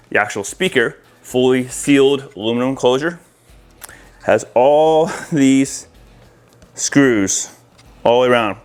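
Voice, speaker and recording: A man with a mid-pitched voice gives a casual walkthrough as an installer. He speaks informally and directly to his viewers, explaining hands-on work as he goes. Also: slight background noise. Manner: informal, addresses viewers as guys. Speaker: audio-video installer presenting to viewers.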